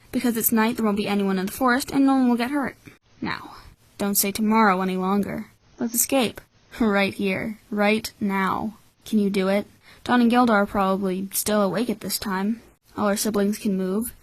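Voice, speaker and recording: A woman acts out a coolly bitter young orphan boy, calculating and cynical, at a middling pitch for a female voice. The delivery is blunt and measured, like an anime dub, with a dry, close sound. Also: dry recording, natural voice, poor audio. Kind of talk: anime-style fan dub acting.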